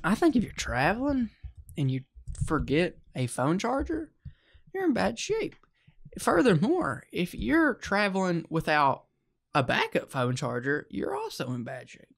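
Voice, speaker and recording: A man's voice: sits high, wildly swooping pitch, unhurried, vocal fry. Playful young man bantering on a podcast. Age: 20s. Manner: playful, mock-dramatic. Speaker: podcast host. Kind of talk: casual joking podcast banter. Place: small room indoors. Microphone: handheld-close podcast microphone on a stand.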